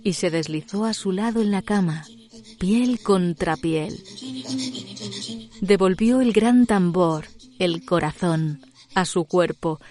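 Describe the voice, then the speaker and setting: A woman narrating a literary tale as a storyteller, in a measured, dramatic scripted delivery. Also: on the low side.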